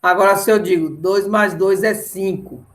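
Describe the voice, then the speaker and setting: A man's voice: high voice, moderate pace, fairly bright tone. A middle-aged Brazilian man lecturing didactically in an online lesson, often checking in with short tag questions. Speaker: mathematics teacher.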